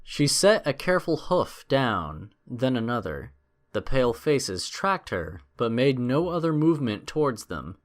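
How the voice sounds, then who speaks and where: A man's voice: mid-range voice, very animated, unhurried. A man narrating a scripted adventure story in a dramatic storytelling style.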